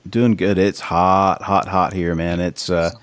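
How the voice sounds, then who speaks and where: Deep-voiced American man, informal podcast banter. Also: level tone, a little slow, clean tone, dry recording. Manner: informal, profane, emphatic, says "man" and "dude" often. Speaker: podcast co-host.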